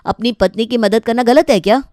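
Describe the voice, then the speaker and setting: A fed-up young husband, voiced by a woman narrator, argues with firm indignation at a slightly raised pitch. The family story recording is dry. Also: a little fast, darkish tone, no echo, natural voice. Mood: indignant. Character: fed-up, principled young husband defending his wife.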